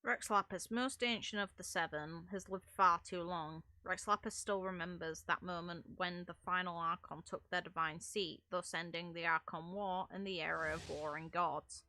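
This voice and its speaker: Bright, forceful woman game streamer. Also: on the low side, fairly even intonation, moderate pace, few pauses, dry recording. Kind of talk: casual livestream chat and lore reading. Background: faint game music.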